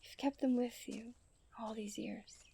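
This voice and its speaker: Woman acting a mellow, genteel fairytale princess. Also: a little high, fairly even intonation, a little slow, full character voice. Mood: tender, soft. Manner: formal, genteel fairytale phrasing with breathy sighs. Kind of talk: scripted romantic ASMR roleplay.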